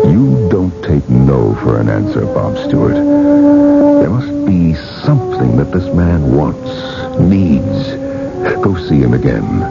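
Deep, gravelly, imperious tycoon. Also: male voice, heavily acted. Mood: brooding. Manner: insistent, self-important. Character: vain, imperious male industrialist, very rich. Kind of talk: 1980s radio drama acting and narration. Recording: muffled old radio recording with music.

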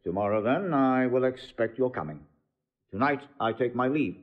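A man's voice: mid-range voice, lively intonation, normal speed, highs cut off, lightly acted. An actor playing a dignified, aggrieved old nobleman in classical Shakespearean audio drama. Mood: stern. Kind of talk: classical Shakespearean radio acting.

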